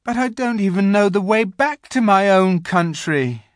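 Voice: medium pitch, very animated, measured pace, fairly bright tone, no room sound, clean studio sound, voice pushed up. A reader voicing an eager, sulky young schoolboy in a children's storybook, whiny and insistent. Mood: unsure, pleading.

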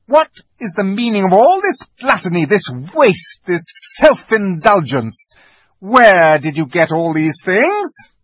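A man voicing a cruel, imperious witch queen in a storybook reading, shrill and commanding. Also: huge pitch swings, slow pace, fairly bright tone, husky, no echo, exaggerated voice. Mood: furious.

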